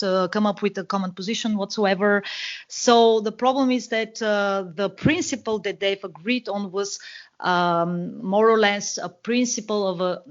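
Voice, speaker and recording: A woman from Bulgaria with a Bulgarian accent, speaking as a guest analyst on a podcast and talking with many fillers and restarts. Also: mid-range voice. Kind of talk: podcast interview, explaining. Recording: dull lo-fi call audio.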